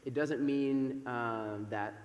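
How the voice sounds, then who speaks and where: A man in his thirties, a pastor speaking from the pulpit in a church sanctuary through a microphone, loud and chatty with many fillers. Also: mid-range voice, steady tone, slow pace, muffled recording. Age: 30s. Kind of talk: sermon. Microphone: microphone over a PA system. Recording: echoey church hall with long reverb.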